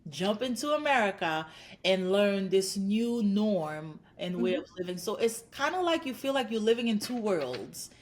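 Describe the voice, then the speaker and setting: A woman from Haiti in her thirties speaks as a psychologist guest on a podcast. She is earnest and encouraging, stacking her points into lists, and her voice sits at a middle pitch. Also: sing-song, normal speed. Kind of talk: podcast interview.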